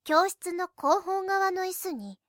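A woman playing an earnest, reserved high school girl who narrates her own story in a youth voice drama. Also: animated, slow, no room sound, clean studio sound, exaggerated voice. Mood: calm, descriptive. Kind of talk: scripted narration in an anime-style voice drama.

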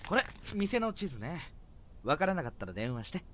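A young man acting a breezy, easygoing, nosy older high school boy in an audio drama. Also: big pitch swings, normal speed, a little hiss or hum. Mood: calm, inquisitive.

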